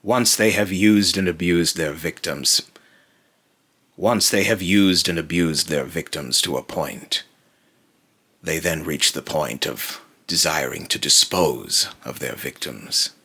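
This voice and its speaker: Deep, raspy, ominous otherworldly being voiced by a man. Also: sharp tone, exaggerated voice. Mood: stern warning. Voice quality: raspy, breathy, lapsing into harsh whisper. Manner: slow, halting, repeats phrases, ominous and grave. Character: brooding otherworldly male being warning about cruel mortals. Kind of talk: dark dramatic monologue.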